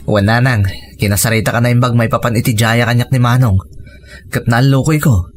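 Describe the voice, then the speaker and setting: A man's voice: mid-range voice, fairly even intonation, brisk, lightly acted. A man playing an earnest young husband in a scripted audio drama, persuasive and determined to provide for his family. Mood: troubled, respectful. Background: faint music.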